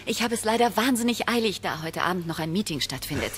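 A woman's voice: medium pitch, expressive, a bit bright, natural voice. Flustered young secretary, acted by a woman. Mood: hurried, friendly. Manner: hurried, polite. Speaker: actress. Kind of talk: German audio drama acting.

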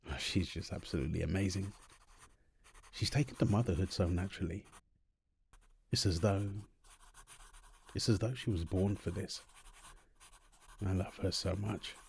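A man's voice: low-pitched, vocal fry, darkish tone, a little hiss or hum. A man playing a doting young husband and new father, tender and playful, in an ASMR boyfriend roleplay. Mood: tender, reflective.

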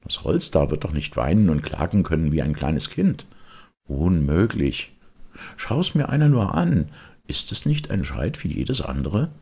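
A simple old carpenter from a storybook, acted out by a male reader, with a gravelly, husky voice that swings widely in pitch. He mutters and blusters to himself to cover his fear. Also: big pitch swings, unhurried, a little mellow, heavily acted. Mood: baffled, blustering.

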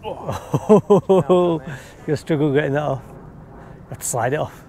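A man's voice: on the high side, slow pace, mellow. A man chatting casually as he magnet fishes from a riverbank, easygoing and often laughing. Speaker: magnet fishing vlogger. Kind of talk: casual commentary while magnet fishing. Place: riverbank outdoors. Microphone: body-mounted action camera.

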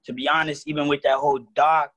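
A man's voice: fairly high pitch, fast talker, husky. A man who raps, with a New York accent, talking loosely in slang on a video call. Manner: relaxed slangy talk, "you know what I mean". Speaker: rapper guest. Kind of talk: casual video call chat.

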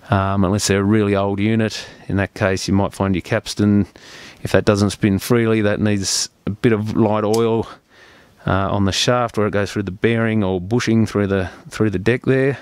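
A man's voice: fairly low pitch, little pitch movement, moderate pace, clean tone, no echo. A man presenting an unscripted hands-on repair tutorial as a technician, explaining each step in a plain-spoken, practical way.

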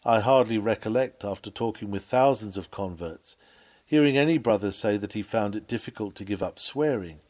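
A man reading an old sermon aloud in a measured, earnest, oratorical preaching delivery. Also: mid-range voice, darkish tone. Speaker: reader of a historical sermon.

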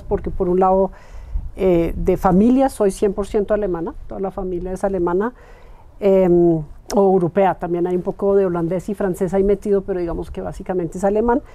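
Woman in her 40s from colombia, mellow warm voice, easygoing interview guest, laughs a lot. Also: medium pitch. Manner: thoughtful, gestures while talking, laughs easily. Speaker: interviewed guest, club member. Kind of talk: relaxed conversational interview. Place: bright room with large windows, indoors.

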